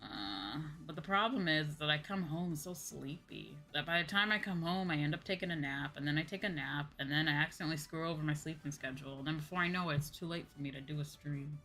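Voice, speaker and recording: A woman's voice: sits low, fairly quick, hardly any pauses, pushed and bright, rough recording. A woman streamer chatting casually and candidly, with emphatic repetition and informal, sweary phrasing. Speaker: VTuber streamer hosting a chat stream. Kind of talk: casual livestream chat. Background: background music.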